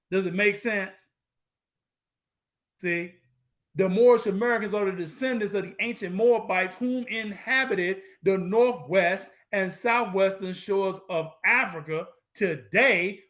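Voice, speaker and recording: High-voiced man lecturing emphatically. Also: a bit bright. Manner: emphatic, repeats points, rhetorical questions; street-style informal grammar. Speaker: lecturer on Moorish American history. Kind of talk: preaching lecture to viewers.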